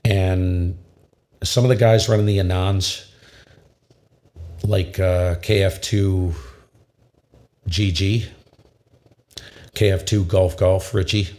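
A man in his fifties with a very low voice, enthusiastically explaining ham radio audio gear in a casual tutorial from his home radio room. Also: steady tone, slow, long pauses, a little mellow. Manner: enthusiastic, emphatic, repeats himself. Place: home radio shack, small indoor room. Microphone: studio condenser mic with pop filter.

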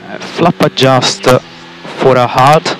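A man works as a race engineer, talking over a motorsport team radio with clear car noise around the voice. His mid-pitched delivery is terse and clipped, with short instructions that he often repeats. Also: slow pace, a bit bright, low-quality recording.

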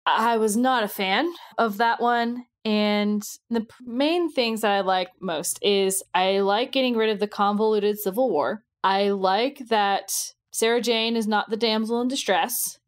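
A woman's voice: mid-range voice, a little slow, hardly any pauses. Woman co-hosting a casual review podcast. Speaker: podcast co-host.

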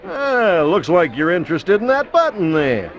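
A man's voice: high voice, wildly swooping pitch, normal speed, some chatter behind, full character voice. A man voicing a helpful, chatty bug who guides the player in a cartoon game, with a folksy manner. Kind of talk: cartoon game character instructions. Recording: slightly dull game audio.

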